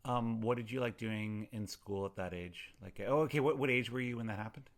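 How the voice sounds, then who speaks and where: A man's voice: mid-range voice, a little fast. A man conducting a casual oral history interview, stammering into his questions and rephrasing them as he goes.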